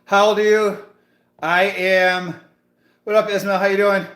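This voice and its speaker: A man's voice: sits high, little pitch movement, measured pace, fairly bright tone, reverberant. A man in his late thirties hosting a casual fitness livestream, chatting and hyping up viewers between sets in a gym. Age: 30s. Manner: chatty, hyped, talks to viewers in the chat. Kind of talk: casual live-stream chat while working out.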